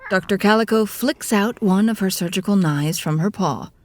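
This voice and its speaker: A woman's voice: medium pitch, moderate pace. A woman narrating a scripted audio drama in a storybook style. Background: music with guitar.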